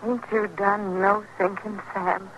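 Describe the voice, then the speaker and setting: An actress playing a weary, wounded but resolute frontier wife in an old radio western, with a rural American western accent. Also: female voice, medium pitch, little pitch movement, lightly acted. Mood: wounded, resigned. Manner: slow, plain-spoken, rustic. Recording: noisy old radio drama recording.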